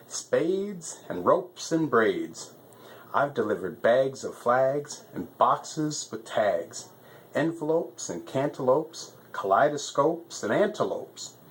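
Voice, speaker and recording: Guy doing a chatty boastful storybook stork postmaster, fussy, goes up high a lot, takes his time. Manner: fussy, rambling, reciting rhyming lists. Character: busy, boastful, talkative male stork postmaster.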